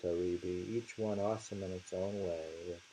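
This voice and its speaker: A man reads poems and comments on them casually, taking his time and pausing often. His voice is fairly low and heavily creaky, with halting phrasing and repeated lines. A faint hiss sits under the recording. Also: fairly even intonation, mellow, poor audio. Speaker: reader of translated poetry. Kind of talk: slow poetry reading with casual commentary.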